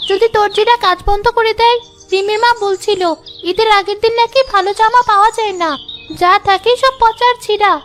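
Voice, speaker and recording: A woman's voice: extremely high pitch, fairly even intonation, a little fast. A woman voicing an eager, stubbornly hopeful young village girl in a children's cartoon, with an earnest, pleading manner. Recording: faint music behind the voice.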